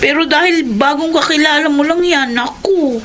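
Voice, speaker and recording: A woman's voice: high voice, moderate pace, a bit bright, slight background noise. A woman acting a friendly, cautious confidante in a radio drama, chatty and advising, with a Filipino accent. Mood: friendly caution.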